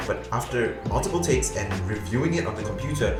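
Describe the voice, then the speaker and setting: A man with a booming, low, slightly hoarse voice reviews a USB microphone in a casual, dryly sarcastic way, tired late at night. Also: lively intonation, fast, reverberant, over loud music. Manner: dry, sarcastic humor. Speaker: tech reviewer. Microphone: USB condenser microphone close-up.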